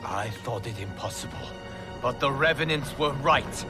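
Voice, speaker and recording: A man's voice: medium pitch, animated, moderate pace, natural voice. A man playing a noble, righteous warrior monk in a video game cutscene, speaking with earnest, formal resolve. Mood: defiant, angry. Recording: loud cinematic music over the scene.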